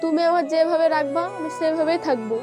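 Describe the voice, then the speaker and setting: A woman's voice: extremely high pitch, quick pace, music in the background. A woman playing a repentant, earnest village wife in an amateur family drama. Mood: repentant, tender. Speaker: actor.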